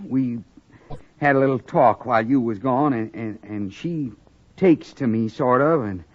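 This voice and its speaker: An actor playing an awkward, sincere frontier suitor in a radio western, hesitant and stammering, with an American Western accent. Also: male voice, mid-range voice, unhurried, lightly acted. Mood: bashful, hesitant. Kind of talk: 1950s radio western acting. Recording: dull vintage radio recording.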